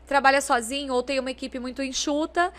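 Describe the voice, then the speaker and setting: A woman, hosting a podcast and interviewing a guest in a measured way. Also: high-pitched, steady tone, a bit bright. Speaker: podcast host.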